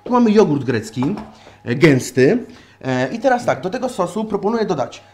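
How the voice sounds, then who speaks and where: A man's voice: sits high, big pitch swings, normal speed, a little mellow, a little hiss or hum. A man in his thirties hosting a cooking show, explaining each step in a chatty way while he cooks in a small home kitchen. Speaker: cooking show host, chef. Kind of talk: casual cooking chat on a live stream. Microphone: lapel mic.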